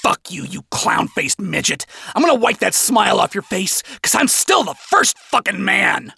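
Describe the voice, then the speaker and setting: A man voicing an arrogant, foul-mouthed fallen rock singer, frustrated and loud, in an audio story. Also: high voice, sing-song, normal speed, gravelly, slightly rough, no echo, studio quality, pitched above the performer's own voice, full character voice. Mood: furious. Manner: shrieking, foul-mouthed, wavering.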